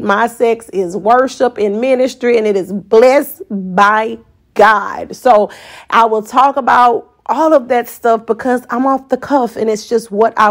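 A woman in her forties with an American accent, hosting a podcast as an unscripted, candid and colloquial personal monologue. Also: on the high side. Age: 40s. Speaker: podcast host.

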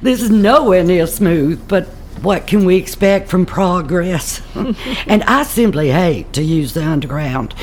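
A woman's voice: animated, measured pace, darkish tone. A woman acting in an audio play as a genteel, polite elderly lady who speaks in exclamations and courteous questions. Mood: cheerful, genteel. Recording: faint vehicle rumble behind the voice. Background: bus ride ambience.

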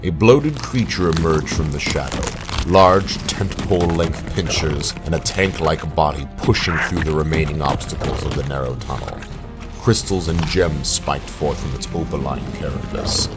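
A man narrating a scripted audio drama in a dramatic, suspenseful storytelling manner. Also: very deep voice. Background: music.

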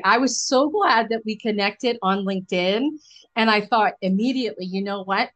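A woman from the US East Coast with an American accent, interviewing conversationally as a podcast host, chatty and encouraging. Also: medium pitch.

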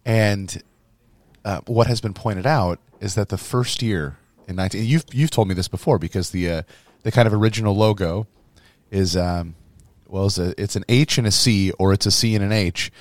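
Hoarse male priest co-host, low voice, chatty storyteller, dry close mic. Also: moderate pace, no room sound. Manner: rambling, storytelling with laughs. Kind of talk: casual chat.

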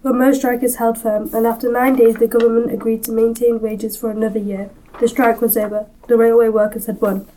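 A woman acts an earnest female newspaper reporter from 1919. She narrates an audio drama in a fairly high voice, with some ambient noise around her. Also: flat delivery, fairly quick, hardly any pauses, mellow, close to an everyday voice, rough recording. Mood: earnest, reporting.

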